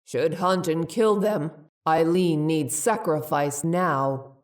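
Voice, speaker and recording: A woman's voice: low-pitched, slow, a little mellow, far from the mic. A woman playing a menacing, blood-hungry ghostly creature in an audio drama, speaking in blunt, broken phrases.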